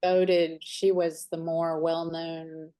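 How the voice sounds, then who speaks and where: A woman leading an art study group discussion, speaking in a measured way. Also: deep voice, level tone, mellow, smooth.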